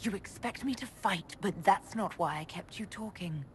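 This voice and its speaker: A woman's voice: medium pitch, normal speed, lightly acted. A woman playing a resolute elf rogue heroine in a video game cutscene. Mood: defiant. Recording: clear ambient noise behind.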